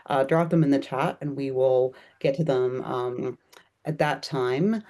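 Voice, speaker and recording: A woman with a mellow, warm tone moderates an online event over a video call. She speaks in a relaxed way, with frequent ums and pauses. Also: very deep voice. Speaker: moderator running the online program. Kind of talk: procedural announcements on a video call.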